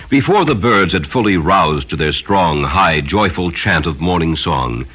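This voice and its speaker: A man narrating a literary story in a solemn, measured, dramatic way. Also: sits low, a bit bright, a little hiss or hum, rough recording. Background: music behind the voice.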